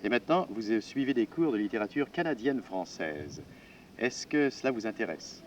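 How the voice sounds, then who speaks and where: A man's voice: on the low side, animated, normal speed, a little mellow, a little hiss or hum. A man interviewing students for radio, asking formal, polite questions. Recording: distant dull 1960s radio archive.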